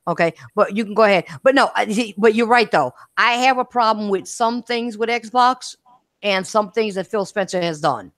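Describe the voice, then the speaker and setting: A woman's voice: medium pitch, moderate pace, a bit bright, no room sound, clean studio sound. A woman hosting a casual gaming podcast, talking emphatically and repeating her points. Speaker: podcast host.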